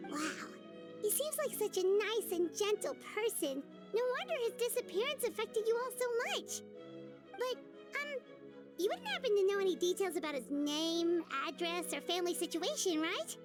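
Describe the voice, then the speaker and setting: A woman voicing a small, chirpy, childlike fairy companion in a video game, bubbly and earnest with a squeaky voice. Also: expressive, moderate pace, music in the background. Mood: sympathetic. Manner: talks of herself in third person, chirpy. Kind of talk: video game character acting.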